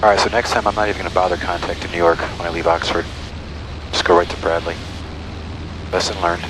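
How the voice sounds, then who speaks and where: Guy flying a small plane, low voice, clipped chatter to himself and radio calls, engine noise behind. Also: flat delivery, quick pace. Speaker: private pilot and aviation vlogger. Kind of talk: radio calls and casual cockpit self-narration. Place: cockpit of a small airplane in flight. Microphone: aviation headset. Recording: loud small-airplane cabin noise.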